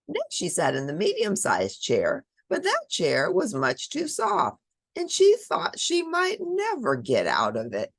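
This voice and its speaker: A woman's voice: fairly low pitch, huge pitch swings, unhurried, slightly rough, no echo. A woman reading a picture book aloud to children, expressively, with dramatic emphasis and chatty asides. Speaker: children's storybook reader.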